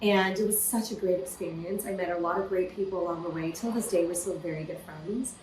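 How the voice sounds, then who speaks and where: A woman from California, a podcast guest, telling her story in a lively, casual way with many "you know" fillers. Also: fairly low pitch, fairly quick, echoey room, background noise. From California, USA. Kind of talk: casual interview conversation.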